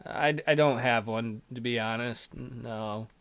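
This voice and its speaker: A man's voice: a little high, animated, fairly quick, sharp tone. A man voicing an awkward teenage schoolboy who narrates his visual novel story in a stammering, hesitant way.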